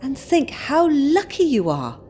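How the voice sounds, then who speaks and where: Actress as spirited young British wife, earnest and courteous, animated intonation, audio drama. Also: female voice, high-pitched, a little slow, slight background noise, heavily acted. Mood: eager, polite. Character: spirited young British expectant mother, polite but outspoken feminist.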